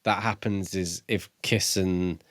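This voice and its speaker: A man co-hosting a podcast, talking casually about books in a measured, slightly hesitant way. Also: deep voice, steady tone, dry recording, studio quality. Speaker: podcast co-host. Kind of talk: casual book discussion.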